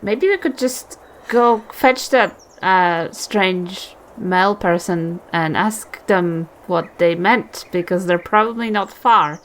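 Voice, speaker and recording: A woman's voice: lowish voice, takes it slowly, hardly any pauses, slight background noise, poor audio. A woman, talking casually in character as a player in a tabletop game.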